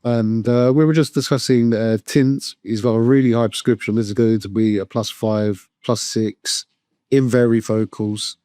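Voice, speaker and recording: A man's voice: medium pitch, steady tone, moderate pace, warm tone, no echo, studio quality. A man casually vlogging from an eyewear shop, chatting about a client and stumbling over product names. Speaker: optician vlogger.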